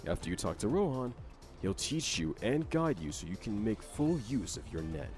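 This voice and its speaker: A man with a fairly low voice narrates a scripted game explainer. His intonation is lively, over faint background music. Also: normal speed.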